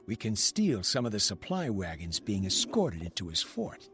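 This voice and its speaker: An actor playing a determined, gruff frontier leader in a dramatic video game, with terse, resolute delivery. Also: male voice, lowish voice, sing-song, moderate pace, music in the background.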